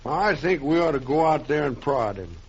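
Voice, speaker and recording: A man's voice: a little high, animated, moderate pace, husky. A man playing a hard-nosed, impatient railroad man in a western radio drama, assertive and clipped. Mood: impatient, scornful. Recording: muffled old radio recording, faint hiss.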